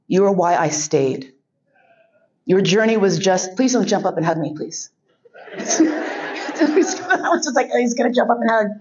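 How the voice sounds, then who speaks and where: A woman's voice: mid-range voice, a little mellow, highs cut off. A woman giving a keynote speech, telling a frank, profane story and laughing often.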